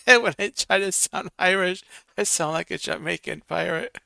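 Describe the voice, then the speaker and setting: A man's voice: high voice, measured pace, creaky, bright, forceful tone, no room sound. A man hosting a casual live stream, reading viewer comments and counting votes aloud while muttering to himself.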